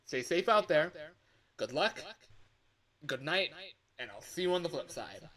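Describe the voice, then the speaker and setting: A man's voice: on the high side, expressive, a little slow. An upbeat male office worker played by a man briefs the night guard for a video game. He is chatty and instructive, projecting his lines like a recorded message. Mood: chatty, cautioning. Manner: loud, exclamatory greetings, instructional. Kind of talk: scripted video game phone-call monologue.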